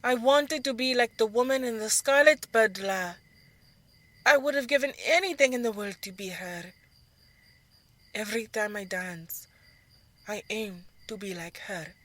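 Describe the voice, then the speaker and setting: A woman playing an earnest, wistful young belly dancer recounting her life in a fantasy audio drama, speaking in a measured way. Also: a little high, fairly bright tone. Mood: longing.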